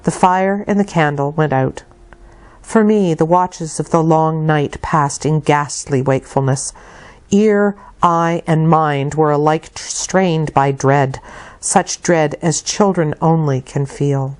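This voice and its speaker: A woman reading literary narration aloud as an audiobook reader, with deliberate, dramatic phrasing. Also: low-pitched, darkish tone. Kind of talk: expressive scripted literary narration.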